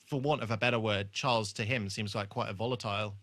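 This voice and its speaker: Bright, low-pitched man chatting on a podcast. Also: fairly even intonation, quick pace, dry recording. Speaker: podcast co-host. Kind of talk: casual chat about a TV show.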